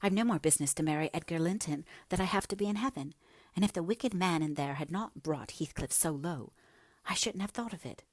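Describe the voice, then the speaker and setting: A woman voicing a passionate, headstrong young gentlewoman in a dramatic, emphatic audiobook reading, breathy at times. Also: on the low side, fairly quick, dry recording, clean studio sound, pitched above the performer's own voice, lightly acted. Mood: wistful, confiding.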